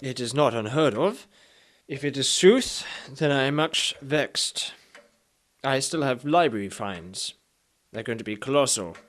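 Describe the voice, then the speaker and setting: An actor playing a haughty, bewildered Renaissance knight in a comic radio play, declaiming in archaic, self-important speech. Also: male voice, medium pitch, expressive, unhurried, fairly bright tone. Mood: puzzled, earnest. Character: pompous Renaissance knight, bewildered time traveller, haughty and literal-minded.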